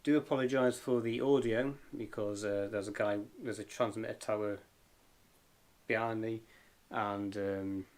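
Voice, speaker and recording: A man giving a casual, unscripted hands-on gear review as an amateur radio vlogger, pausing and feeling his way along. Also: lowish voice, steady tone, a little mellow. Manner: hesitant, informal, unscripted. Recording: clear background noise and clicks.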